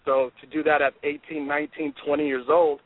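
Young guy in his 20s on a tinny phone line, pumped and sure of himself, flat steady delivery. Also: a little high, fairly quick, dry recording. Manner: intense, confident, driven. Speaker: football player, draft prospect guest. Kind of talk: phone-in interview.

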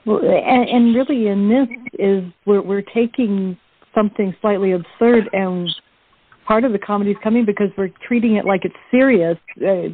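A woman with a mid-range voice talks casually as an interviewed cast member. She feels her way through explanations, doubling back on words. The line is narrow and tinny like a telephone. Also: medium pitch. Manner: halting, repeats words while explaining.

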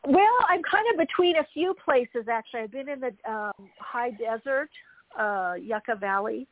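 A woman's voice: fairly high pitch, moderate pace, dry recording. Woman host on a thin phone-like line. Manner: rambling and discursive, addresses listeners informally. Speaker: spiritual show host. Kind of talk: casual live talk, interviewing.

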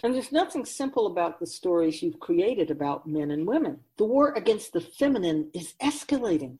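A woman's voice: fairly low pitch, dark tone. A woman playing a wise, grave otherworldly keeper of souls in a radio drama, speaking in an oracular, instructive and emphatic way.

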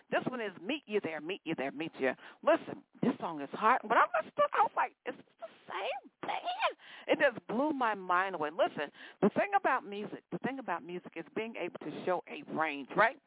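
A woman's voice: mid-range voice, no room sound. A sixty-year-old woman DJ chats between records, looping back on her own phrases and calling her listeners y'all and baby. The sound is thin and phone-like, with no room around it. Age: 60s. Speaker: radio DJ. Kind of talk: casual on-air chat between songs.

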